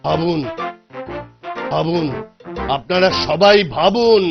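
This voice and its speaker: A man's voice: slow pace, vocal fry, full character voice. A man voicing an anxious, exasperated Maharaja in a cartoon, commanding yet fretful. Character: anxious, exasperated male Maharaja holding court. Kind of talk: Bengali cartoon voice acting. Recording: muffled low-fidelity track with music.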